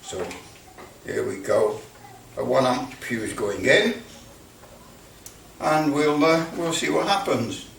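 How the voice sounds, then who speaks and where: A British man giving a casual hands-on demonstration, halting as he thinks aloud. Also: medium pitch, creaky, a bit bright. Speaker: presenter demonstrating electrical testing. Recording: roomy, distant mic with background noise.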